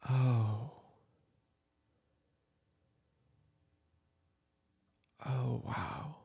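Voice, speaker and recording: A man playing a gentle, gravelly older brother. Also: medium pitch, steady tone, very slow, highs cut off, exaggerated voice. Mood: soothing. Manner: gentle, reassuring, soft-spoken, dropping to whispers. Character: caring older brother sheltering a younger sibling. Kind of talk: comfort audio roleplay. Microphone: home desk mic.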